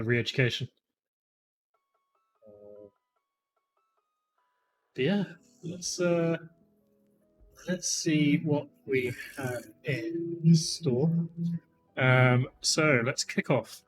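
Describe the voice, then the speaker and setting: A man in his thirties chatting casually as a stream host, rambling with lots of fillers, his voice slightly croaky. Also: medium pitch. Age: 30s. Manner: rambling, chatty, many fillers, greets viewers by name. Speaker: livestream host. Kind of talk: casual live-stream chat. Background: faint lo-fi music.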